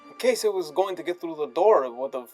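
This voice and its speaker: Woman, low voice, tabletop player, blunt, sweary, casual, faint background music. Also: lively intonation, fast, mellow. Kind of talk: casual game chat describing her actions.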